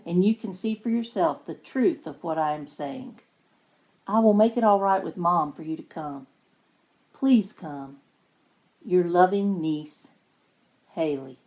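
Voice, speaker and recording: Earnest niece, slightly muffled. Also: female voice, lowish voice, expressive, a little slow, dark tone. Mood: pleading. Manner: earnest, pleading. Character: earnest, determined young niece recounting strange time travel. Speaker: voice actor in a fiction podcast. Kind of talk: dramatic reading of a letter.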